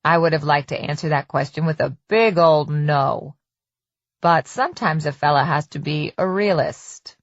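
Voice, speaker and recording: A woman narrator plays a middle-aged husband in a storybook thriller, his voice dropped low. He is calm, folksy and falsely tender, talking down with patient menace. The recording is dry and slightly dull. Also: normal speed, no echo. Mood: patient, condescending. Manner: folksy, patient, condescending endearments.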